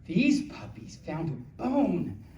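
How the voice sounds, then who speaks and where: Animated, playful storyteller in an echoey room. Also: mid-range voice, slow pace, muffled recording. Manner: playful, childlike cutesy phrasing with exclamations. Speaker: storyteller reading picture books aloud to an audience. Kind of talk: playful live story time with ad-libbed asides. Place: small room at a convention. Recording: echoey room from far off, faint noise.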